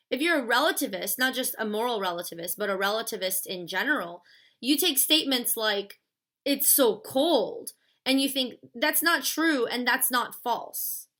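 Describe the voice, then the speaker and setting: Female lecturer, 30s, bright and slightly high voice, casual and conversational delivery. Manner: chatty, uses fillers like "like" and "stuff". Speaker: philosophy lecturer. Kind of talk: casual classroom explanation.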